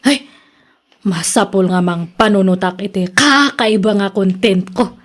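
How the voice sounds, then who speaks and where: A woman's voice: mid-range voice, unhurried, natural voice. An actress with an Ilocano accent playing a stubborn, vain woman vlogger hungry for fame, in theatrical radio drama style. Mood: defiant, self-important.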